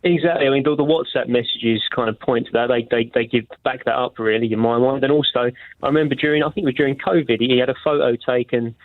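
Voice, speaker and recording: A man's voice: mid-range voice, fairly even intonation, quick pace, no room sound. A man with an English accent calling a radio phone-in over a phone, giving his opinions casually with tentative, hedged phrasing. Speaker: phone-in caller. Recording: narrow, tinny phone line.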